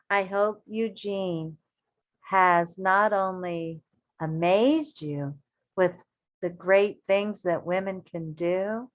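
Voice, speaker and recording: A woman reading a children's story aloud like an elementary teacher, expressive and encouraging, with deliberate emphasis and asides to her young listeners. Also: mid-range voice.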